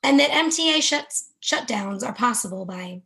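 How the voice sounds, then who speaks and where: A woman reading storm news bulletins in a crisp newsreader manner. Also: on the high side, animated, moderate pace, sharp tone. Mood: informative. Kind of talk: newsreader-style narration.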